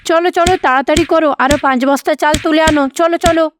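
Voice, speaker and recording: A woman voicing a harsh, sharp-tongued older mother-in-law who scolds and bosses in a cartoon. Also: high-pitched, fairly even intonation, fast talker, background noise, voice pushed up, lightly acted. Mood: bossy.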